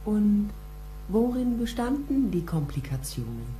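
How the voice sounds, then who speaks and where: Radio drama role: genteel, pointed female investigator, lively intonation, roomy sound. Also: mid-range voice, measured pace, faint music behind. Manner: polite, pointed questioning. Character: probing female detective posing as a genteel lady. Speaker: actor in a radio play.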